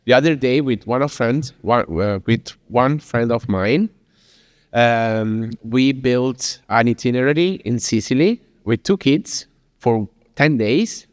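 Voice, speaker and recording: A man's voice: mid-range voice, slow, no echo, studio quality, big-room sound. A man from Italy with an Italian accent, giving a chatty, informal presentation in English with many fillers and restarts. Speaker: speaker at a business talk. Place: venue in Lisbon.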